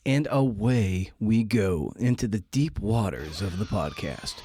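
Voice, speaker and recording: A man's voice: on the low side, expressive, a little slow, dark tone. A man hosting a podcast, talking casually and colloquially with playful asides as he introduces and interviews.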